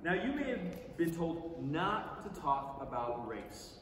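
A distant recording in a big, very reverberant theatre carries an American man in middle age, reading aloud from the stage. His voice sits fairly high, and he performs the text conversationally, leaning on key words and pausing for effect. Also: very animated, a bit bright, slight background noise. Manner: conversational, deliberate, theatrical delivery with emphatic pauses. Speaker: stage reader performing a book. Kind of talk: dramatic staged reading to an audience. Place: large theatre stage, indoors. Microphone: far audience camera.

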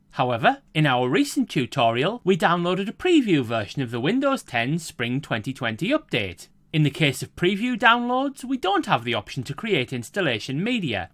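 A man's voice: on the high side, a bit bright, dry recording. Formal man narrating a tutorial. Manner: measured, formal, step-by-step. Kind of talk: scripted screen-recording voice-over.

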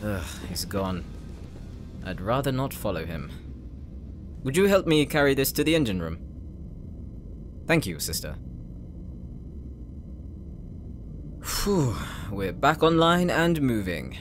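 A man's voice: mid-range voice, big pitch swings, unhurried, pauses often. A man playing a serious, wary, dutiful brother in an anime-style audio drama, speaking earnestly and formally. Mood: weary, polite. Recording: prominent music behind the voice.

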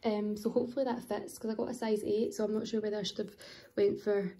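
A woman's voice: medium pitch, little pitch movement, quick pace, mellow. A young adult woman chatting casually and unscripted as she films a shopping haul in her kitchen. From United Kingdom. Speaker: vlogger showing a shopping haul. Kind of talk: casual chatty voice-over to camera. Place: home kitchen, indoors.

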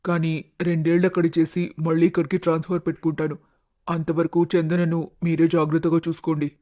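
A man voicing a dutiful, respectful grown son in an animated family story, speaking earnestly. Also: fairly high pitch, brisk, lightly acted. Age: young adult.

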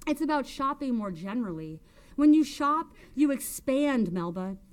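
A woman's voice: medium pitch, expressive, moderate pace, a little mellow, close to an everyday voice. A woman acting a bitter, hectoring, domineering woman. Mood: insistent, haranguing. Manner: scolding, emphatic, rhetorical questions. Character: bitter, hectoring, domineering older woman berating Melba by phone.

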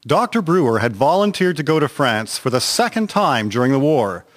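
A man narrating a historical radio drama from a script in a measured, formal reading. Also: fairly high pitch, very animated.

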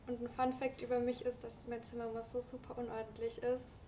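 A woman's voice: on the high side, flat delivery, brisk, warm tone, echoey room, recorded from a distance, slight background noise. A woman in her twenties hosting a dating show in casual chat, easygoing and giggly. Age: 20s. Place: studio with curtains.

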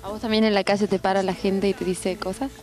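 A young Argentine woman with a mid-pitched voice interviews guests on a studio couch, holding a handheld mic. She banters playfully and teases her guests. Faint music plays behind. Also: flat delivery, quick pace, a little mellow. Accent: Argentine Spanish. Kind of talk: casual TV interview.

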